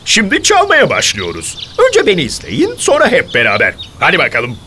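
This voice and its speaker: A woman's voice: low-pitched, wildly swooping pitch, normal speed, bright, forceful tone, a little hiss or hum, heavily acted. A woman voicing a boastful male artist bird in a cartoon, theatrical and grand. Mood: coaching. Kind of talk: cartoon voice acting. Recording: cartoon soundtrack with music.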